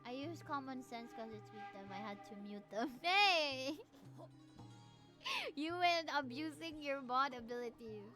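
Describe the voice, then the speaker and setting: Young filipino lady streamer, high voice, playful slangy chat, game music in the back. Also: moderate pace, hardly any pauses, fairly bright tone. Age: young adult. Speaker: livestreamer playing a video game. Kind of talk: casual chat with stream viewers and reading game dialogue aloud. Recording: music playing behind the voice.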